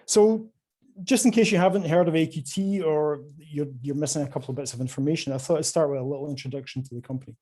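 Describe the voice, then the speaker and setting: A man's voice: fairly high pitch, quick pace. A man with a Scottish accent giving a conference presentation over a video call, with many filler sounds and restarts. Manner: hesitant, many filler sounds, lively rises and drops in level. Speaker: conference speaker from a quantum computing company.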